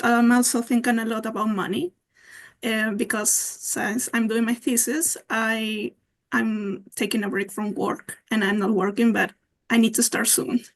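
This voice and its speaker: A young woman from Peru, not an English speaker, sharing her drawings hesitantly on a video call with many fillers. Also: a little high. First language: Spanish. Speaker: workshop participant.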